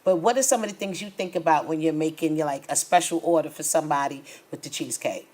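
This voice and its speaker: A woman's voice: deep voice, fast talker, a little mellow. A woman hosting a conversational interview, asking questions and responding in an encouraging, affirming way. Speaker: show host, interviewer.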